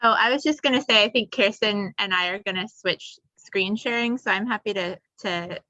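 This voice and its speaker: A woman in her twenties, a graduate student, introducing herself in a relaxed, chatty way on a video call. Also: medium pitch, moderate pace, no echo. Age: 20s. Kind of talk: casual self-introduction. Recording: dull dry video-call audio.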